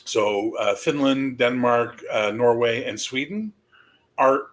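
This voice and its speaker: A man's voice: medium pitch, lively intonation, takes it slowly. A man hosting a livestream, rambling casually with lots of swearing and jokes. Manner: rambling, profane, jokey. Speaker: stream host. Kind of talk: casual chat.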